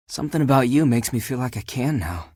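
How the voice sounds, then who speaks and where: Game role: living laundry heartthrob, husky and breathy, casual flirt with an insecure streak, close dry sound. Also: male voice, mid-range voice, darkish tone, no echo. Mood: wistful. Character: flirty, laid-back living pile of male laundry, secretly insecure. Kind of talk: video game dating character acting.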